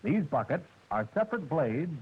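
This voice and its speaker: A man narrating a 1940s military training film, with a precise, measured and formal delivery, heard through an old film soundtrack. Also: on the high side, very animated, highs cut off, a little hiss or hum. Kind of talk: scripted instructional narration.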